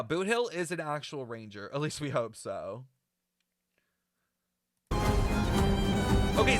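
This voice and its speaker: A man giving casual, rambling reaction commentary in a chatty, slangy, excitable way. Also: fairly high pitch. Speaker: reaction streamer.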